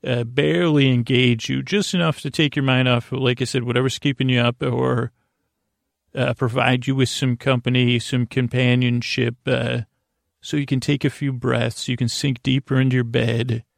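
A man with a creaky voice hosts a sleep podcast, rambling soothingly and a little goofily, stammering and wandering off into tangents. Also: medium pitch, flat delivery, dry recording, clean studio sound. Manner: meandering, halting, stammering, trailing off into tangents; soothing, lulling, goofy. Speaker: podcast host. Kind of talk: rambling bedtime monologue to help listeners sleep.

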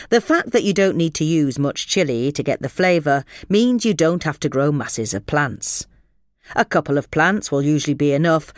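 Woman, British accent, article narration, animated intonation, close dry sound. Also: sits low, no room sound. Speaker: article reader. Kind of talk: scripted magazine article narration.

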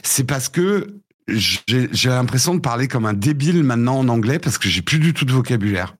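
A man in his fifties, raised in the United States with English as his first language, tells his childhood story in a lively, stop-and-start way. His voice sits at an ordinary middle pitch. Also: fairly quick, slightly rough. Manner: animated storytelling with frequent restarts. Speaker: guest. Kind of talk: casual interview chat.

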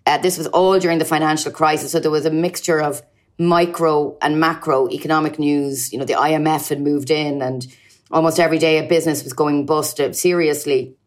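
A woman's voice: deep voice, steady tone, a little fast. A woman in her forties from Ireland with an Irish accent, a journalist answering interview questions fluently, with frequent ums and uhs. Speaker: journalist guest.

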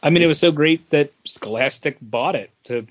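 A man's voice: on the high side, moderate pace, highs cut off. A man co-hosting a podcast, chatting casually about films and comics. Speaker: podcast co-host.

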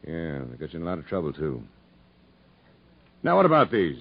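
An actor playing a stern, blunt prison camp captain in a 1950s radio drama, commanding and clipped. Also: male voice, low voice, wildly swooping pitch, fairly quick, creaky, slight background noise, heavily acted. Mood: gruff, insistent. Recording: dull 1950s radio broadcast recording.

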